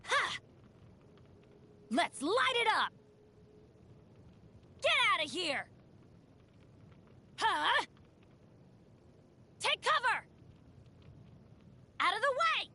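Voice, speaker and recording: Upbeat, unlucky teen boy hero for a game: high, very animated, earnest. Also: drawn-out words, creaky, pauses often, exaggerated voice. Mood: eager, excited. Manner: earnest, exclamatory. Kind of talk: video game character voice lines.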